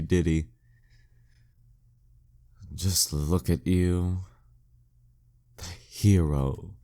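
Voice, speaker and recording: A man's voice: deep voice, mellow. A man playing a proud, dominant demon king in an audio roleplay, teasing and condescending in an intimate scripted monologue. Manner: slow, teasing, condescending, smugly theatrical. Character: dead male demon king, taunting, dominant, proud former nemesis.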